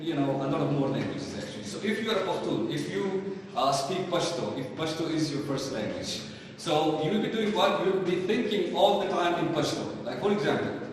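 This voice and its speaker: A man with a Pakistani accent teaching a live online English lesson in a didactic way, often asking questions and answering them himself. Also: on the high side. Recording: very echoey hall, distant mic, faint noise.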